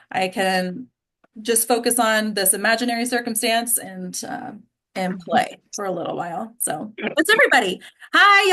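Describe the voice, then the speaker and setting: Chatty American woman, higher-pitched voice. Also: fairly bright tone. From Texas, United States. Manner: chatty, effusive. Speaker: host of an online actors' table read. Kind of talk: casual welcoming chat to a group. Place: online video call. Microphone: video call.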